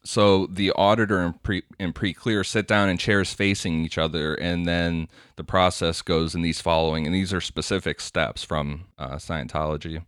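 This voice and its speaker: A man's voice: deep voice, fairly even intonation, normal speed, few pauses, a bit bright, smooth, no echo, clean studio sound. A man co-hosting a casual podcast, explaining a topic from notes and laughing often. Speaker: podcast co-host. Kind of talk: casual podcast chat, reading quotes aloud.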